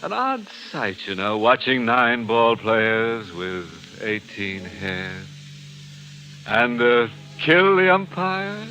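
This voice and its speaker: A man's voice: mid-range voice, big pitch swings, bright, forceful tone, faint music behind, exaggerated voice, rough recording. A male actor playing a ghoulish, mocking horror host in an old radio mystery, theatrical and sardonic. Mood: gleefully macabre. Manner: sardonic, theatrical, drawn-out delivery with morbid glee. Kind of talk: 1940s radio mystery acting. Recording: old radio broadcast recording.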